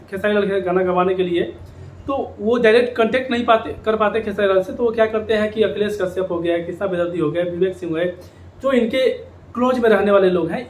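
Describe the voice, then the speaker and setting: A man delivers informal commentary straight to his viewers. He often repeats a phrase to drive it home. The room rings with echo, and there is faint noise behind him. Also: high-pitched. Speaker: YouTube commentator on Bhojpuri music industry. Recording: echoey room with background noise.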